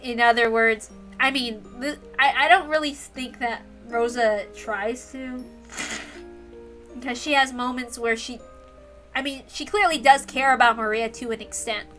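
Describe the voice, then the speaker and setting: A woman reading a story aloud as narrator, in an expressive storytelling style. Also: a little high, a little slow, faint music behind. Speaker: narrator, reader.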